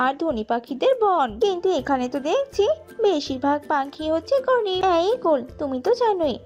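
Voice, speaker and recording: Woman playing a high-voiced snooty lady eagle. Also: very animated, normal speed, darkish tone. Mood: displeased. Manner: haughty, demanding. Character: snobbish fussy female eagle who scorns poor birds. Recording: slightly dull audio with music behind.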